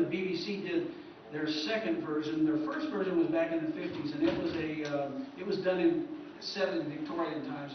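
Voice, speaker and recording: A male presenter speaks informally to a convention room in a halting, self-correcting way. His voice sits a little high. It is picked up from far away, muffled and swimming in echo. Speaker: presenter, historian. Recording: echoey hall from far off, muffled, noisy.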